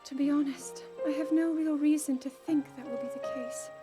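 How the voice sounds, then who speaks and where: A young woman voicing an earnest young princess who is burdened by duty and doubts herself, speaking softly in a game cutscene. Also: high-pitched, fairly even intonation, normal speed, music in the background, close to an everyday voice. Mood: gentle. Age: young adult. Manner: soft, pleading, searching. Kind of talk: video game cutscene voice acting.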